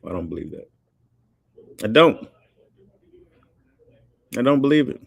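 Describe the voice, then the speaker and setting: A man's voice: mid-range voice, sing-song, measured pace, vocal fry, no room sound. A man hosting a livestream, chatting casually with his viewers in a rambling, laid-back way and laughing often. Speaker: livestream host reading chat. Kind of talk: casual chat.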